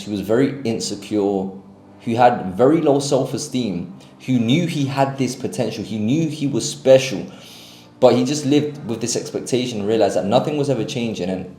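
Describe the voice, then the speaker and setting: A young man in his twenties with a London accent, telling his personal story unscripted to camera in an earnest, confessional way with long pauses. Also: on the low side, warm tone, reverberant. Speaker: self-improvement creator.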